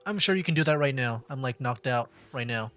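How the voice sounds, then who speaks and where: A man's voice: mid-range voice, expressive, brisk, a bit bright, faint music behind. A man streaming a game, giving casual commentary and reading bits of dialogue aloud.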